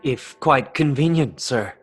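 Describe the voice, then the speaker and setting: A meek office clerk for a radio drama. The voice is medium in pitch and a bit husky, timid and deferential toward a superior. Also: male voice, expressive, unhurried, soft background music. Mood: meek, hopeful. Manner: timid, polite. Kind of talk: radio play acting.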